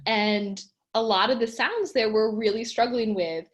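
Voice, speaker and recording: A woman's voice: on the high side, unhurried. A woman co-hosting a casual panel discussion, chatty and friendly as she explains.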